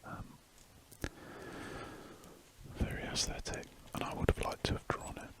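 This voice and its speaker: Soft rambling ASMR whisperer. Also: unhurried, poor audio. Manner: soft unvoiced whisper, rambling and self-correcting. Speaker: ASMR drawing channel host. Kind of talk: whispered ASMR chat while drawing.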